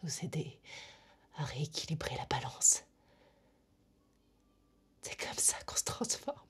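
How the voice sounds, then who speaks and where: An actress playing a menacing, eerily calm young witch in a film monologue, speaking in a slow, deliberate, breathy hush. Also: low-pitched, full character voice. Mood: cold, resolute. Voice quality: breathy, hushed near-whisper. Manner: slow, deliberate, intimate, taunting.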